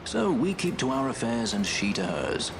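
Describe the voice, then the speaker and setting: An actor playing a suave, wry young sky pirate in a video game, with an urbane, teasing, unhurried manner. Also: male voice, mid-range voice, wildly swooping pitch, creaky, noisy background. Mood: wry, composed. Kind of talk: polished video game voice acting. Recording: music behind the voice.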